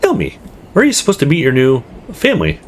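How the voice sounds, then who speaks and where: A man's voice: mid-range voice, wildly swooping pitch, quick pace, a little hiss or hum. A man voicing a boastful young wannabe ladies' man in a visual novel, pompous and theatrical. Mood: cheerful.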